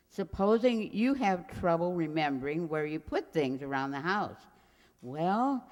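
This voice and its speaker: A woman's voice: fairly low pitch, darkish tone. A woman playing a sweet, forgetful elderly landlady who dithers and rambles in a radio comedy. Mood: earnest, dithering. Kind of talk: radio comedy acting, old-time sitcom revival. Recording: very reverberant hall sound.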